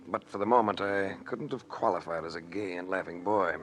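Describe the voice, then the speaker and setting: An actor playing a wry, hard-boiled adventurer in a 1940s radio detective drama. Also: male voice, low-pitched, fairly quick, fairly bright tone. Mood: wry narrating. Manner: dry, wisecracking. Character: wry, hard-boiled male adventurer telling his own story. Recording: noisy old radio broadcast recording.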